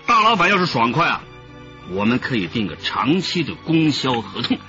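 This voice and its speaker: An actor playing a jovial, smooth-talking boss who haggles effusively in a Chinese radio drama, peppered with interjections. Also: male voice, medium pitch, sing-song, moderate pace, pushed and bright. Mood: brisk, persuasive. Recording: dull radio drama audio with background music.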